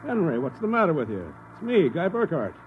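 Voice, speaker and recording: A man's voice: a little high, huge pitch swings, brisk, slight background noise. A man acting a shaken, bewildered ordinary husband in a 1950s radio drama. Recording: dull vintage radio recording.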